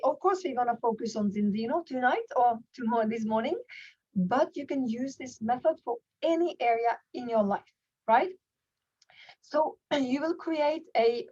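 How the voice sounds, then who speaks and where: Norwegian woman teaching an online workshop, kinda high voice, earnest, keeps rewording stuff, bit muffled lo-fi sound. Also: a little mellow. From Norway. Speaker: workshop trainer. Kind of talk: informal online training presentation.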